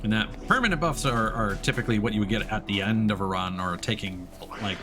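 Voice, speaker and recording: A man's voice: lowish voice, quick pace, over music. A man giving casual, playful commentary as he livestreams a video game. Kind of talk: casual let's play commentary with on-screen text read aloud.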